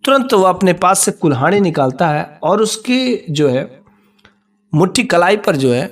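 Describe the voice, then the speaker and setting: A man's voice: high voice, lively intonation, normal speed. A man telling a moral folk tale informally, with conversational, repetitive phrasing. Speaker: storyteller.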